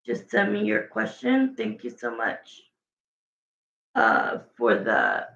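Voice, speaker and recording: A woman with a raspy voice doing a casual livestream tarot reading, chatting and thinking aloud between viewer questions. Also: very deep voice, huge pitch swings, takes it slowly, fairly bright tone. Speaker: tarot reader. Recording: echoey, dull lo-fi room sound.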